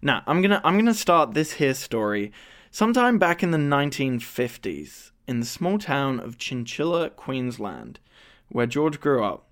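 A man's voice: mid-range voice, sing-song, moderate pace, fairly bright tone. Young man hosting, slight stammer. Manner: slight stammer, hesitant, self-deprecating. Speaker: podcast host. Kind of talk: scripted podcast introduction.

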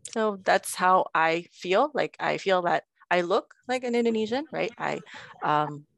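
Woman, thirties, guest on a chat show, low-pitched and close-miked, animated storyteller. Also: measured pace, vocal fry, no room sound. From Philippines. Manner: mixes English and Indonesian, animated storytelling. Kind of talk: casual chat.

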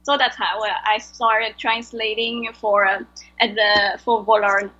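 A woman's voice: mid-range voice, little pitch movement, measured pace, pushed and bright, dull audio. A woman whose first language is Vietnamese, speaking English with a French accent, hesitantly answering interview questions as a guest over a video call. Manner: hesitant, searching for words. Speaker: guest translator.

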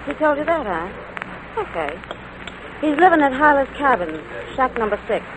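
Brassy high-pitched diner waitress. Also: female voice, sing-song, normal speed. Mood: sassy. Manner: tough, slangy, sassy. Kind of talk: old-time radio drama acting. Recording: noisy vintage radio recording.

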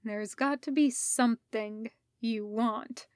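A woman voicing a scheming male Sith Lord villain who speaks low, calm and persuasive. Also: slow pace, no echo, studio quality. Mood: musing.

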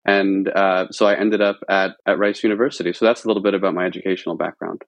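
A man's voice: sits low, flat delivery, fast, no echo, dull audio. An American man from central Pennsylvania answering as a podcast guest, talking informally and at length, often correcting himself as he goes. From central Pennsylvania, United States. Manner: informal, discursive, self-correcting. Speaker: podcast guest, religion researcher. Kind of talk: conversational interview answers.

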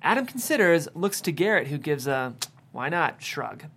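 A man's voice: a little high, big pitch swings, moderate pace, a bit bright, husky. A man narrating the stage directions at a screenplay table read.

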